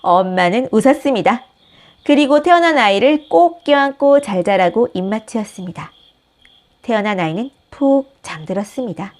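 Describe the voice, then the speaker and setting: A woman host reading a picture book aloud with gentle, expressive storytelling. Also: a little high, normal speed. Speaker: storybook reader, channel host.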